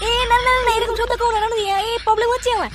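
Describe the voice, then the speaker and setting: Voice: very high-pitched, fairly even intonation, rapid-fire, a little hiss or hum. A performer voicing a brash, foul-mouthed male street gangster in an adult comedy cartoon, cursing and bullying. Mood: exasperated.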